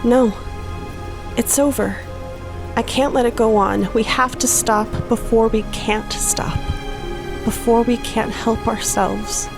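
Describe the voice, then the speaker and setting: Actress as slow, anguished woman in love. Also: a little high, steady tone, over music. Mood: anguished, resolute. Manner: halting, heartfelt, deliberate. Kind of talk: dramatic audio drama acting.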